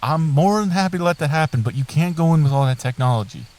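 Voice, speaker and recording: Man as a higher-voiced, reasonable talking tree. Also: animated, fast, darkish tone, natural voice. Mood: negotiating, hesitant. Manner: hesitant, casual, reasoning. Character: reasonable, patient talking magical tree guarding a forest. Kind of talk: improvised comic audio drama. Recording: background noise with chirping crickets.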